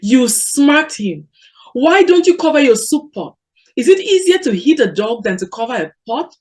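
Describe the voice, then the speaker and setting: A woman reading aloud, voicing a quarrelsome young village wife who scolds in a shrill, sneering voice. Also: animated, takes it slowly, a little mellow. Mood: shrill quarrel. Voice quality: shrill, sneering sing-song.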